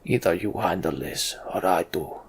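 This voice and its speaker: A man voicing a gruff giant warrior in a fantasy game, grim and terse, in a hushed growl. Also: low voice, normal speed, pitched below the performer's own voice.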